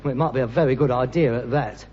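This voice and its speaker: A man's voice: mid-range voice, fast talker, natural voice. An actor playing an indignant, sarcastic grown-up son, a working-class rag-and-bone man with a London Cockney accent and mock-pompous turns, in a vintage British sitcom. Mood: resentful. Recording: muffled, hissy old sitcom recording, echoey hall.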